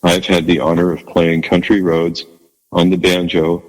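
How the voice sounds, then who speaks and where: A man with a low bass voice, chatting as a guest in an interview, speaking haltingly and modestly with many restarts. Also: flat delivery, big-room sound, rough recording. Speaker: guest musician. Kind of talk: casual interview chat.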